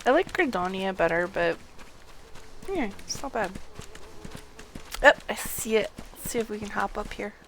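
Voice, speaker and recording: A woman's voice: mid-range voice, expressive, moderate pace, long pauses, a bit bright. A woman game streamer thinking aloud casually as she plays and reading on-screen text out to viewers. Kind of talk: live playthrough commentary and reading quest text aloud.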